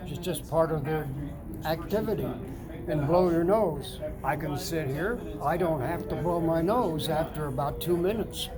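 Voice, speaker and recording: A very old man speaks slowly from inside a sauna, with loud crowd noise behind him. His voice sits a little high for a man, and he halts often with fillers and restarts. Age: older. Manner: halting, many fillers and restarts. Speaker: sauna customer. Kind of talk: casual testimonial chat. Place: indoors, seated in a sauna.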